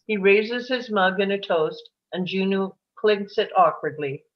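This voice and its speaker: A woman's voice: mid-range voice, a little slow, dull audio. A woman narrator reading scripted stage directions for a screenplay.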